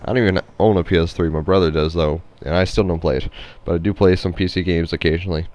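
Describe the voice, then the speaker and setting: A man's voice: sits low, fairly even intonation, fast talker, a little mellow. A man giving casual, rambling, unscripted game commentary, hesitant and nasal from a stuffy head cold. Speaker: gameplay commentator.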